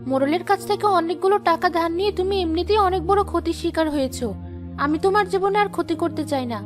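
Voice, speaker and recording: A very high-pitched, fast-talking young village daughter from a cartoon, played by a woman. She is earnest, dutiful and outspoken, with faint music behind her. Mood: resigned. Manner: earnest, plain-spoken. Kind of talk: cartoon voice acting.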